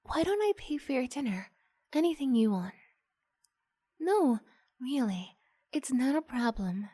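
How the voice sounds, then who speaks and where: Woman playing a breathy, coaxing young vampire girl. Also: high-pitched, big pitch swings, no room sound, studio quality, voice pushed up, exaggerated voice. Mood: apologetic, coaxing. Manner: hushed, halting, often slipping into breathy whisper. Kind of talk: ASMR roleplay, improvised.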